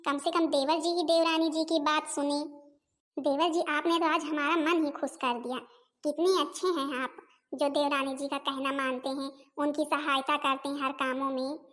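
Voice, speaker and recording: A woman's voice: sits high, fairly even intonation, normal speed, fairly bright tone. A woman voicing a young, dutiful, long-suffering daughter-in-law in a family comedy cartoon, deferential and chatty. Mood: delighted.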